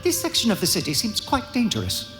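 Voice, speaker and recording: A man's voice: high voice, wildly swooping pitch, a little fast. A man voicing a prim, fussy, anxious protocol droid in a live audio drama. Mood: fretful, panicky. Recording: loud music and effects behind.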